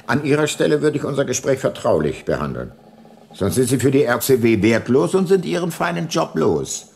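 An actor playing a hard-nosed, cunning older private detective in a radio drama, terse and dry. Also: male voice, mid-range voice, very animated, fairly quick, creaky, darkish tone, a little hiss or hum. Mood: menacing, pressing. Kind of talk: radio drama narration and dialogue.

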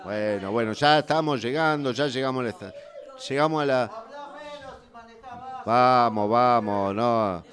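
A man's voice: medium pitch, unhurried, pauses often, fairly bright tone, close to an everyday voice. A harried city bus driver played by a man with an Argentine accent. He is bossy and impatient, repeating his orders to passengers again and again, in the manner of an improvised radio play. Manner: repetitive, bossy, nagging. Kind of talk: improvised radio drama acting.

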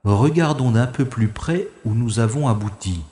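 A man's voice: mid-range voice, lively intonation, moderate pace, dark tone, natural voice. A man playing a wry, gallant adventurer in an audio drama, speaking formally and thinking aloud. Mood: puzzled, searching. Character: wry, gallant male adventurer rescuing a young woman. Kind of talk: French-language audio drama acting with first-person narration. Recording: echoey long reverb, music behind.

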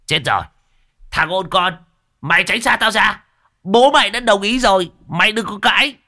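A man voicing a gruff old male exorcist who talks in a coarse, mocking, commanding way. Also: sits high, moderate pace, bright, forceful tone, dry recording, clean studio sound, pitched above the performer's own voice, exaggerated voice.

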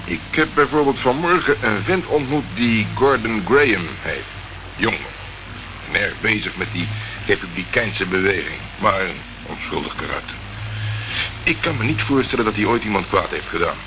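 An actor playing a tough, unflappable private detective in a radio play, terse and dry. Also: male voice, fairly low pitch, animated, normal speed. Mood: dry, composed. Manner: terse, dry, probing questions. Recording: muffled, noisy old radio recording.

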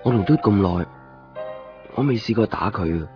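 A man's voice: lowish voice, expressive, moderate pace, natural voice. A man playing a weary, lonely shopkeeper who narrates a radio drama in a colloquial, brooding way, with a Hong Kong Cantonese accent. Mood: glum, reflective. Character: weary, lonely male copy-shop keeper. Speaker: lead actor and first-person narrator. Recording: dull lo-fi radio recording, music behind.

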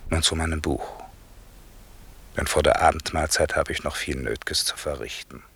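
A man's voice: a bass voice, normal speed, creaky, a bit bright, husky, heavily acted. An actor playing a grave, fatherly old magician in a 1960s German radio play, solemn and reflective. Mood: solemn, benevolent. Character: old fatherly magician, grave, secretly watching young lovers.

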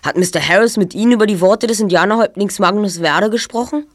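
A woman's voice: mid-range voice, fast talker, dry recording, clean studio sound, close to an everyday voice. A woman playing an alert, determined teenage boy detective in an audio drama.

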